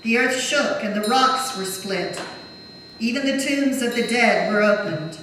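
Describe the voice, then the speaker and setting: A woman's voice: a little high, measured pace, fairly bright tone. A woman reading scripture as narrator in a solemn dramatic style in a church. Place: large church during a service. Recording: very echoey church, distant, faint noise.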